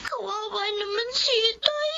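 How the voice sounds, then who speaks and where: An actress with a Filipino accent playing a dutiful, timid young daughter in a radio drama. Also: female voice, very high-pitched, normal speed, creaky, bright, forceful tone, exaggerated voice. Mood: worried. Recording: dull audio with faint noise.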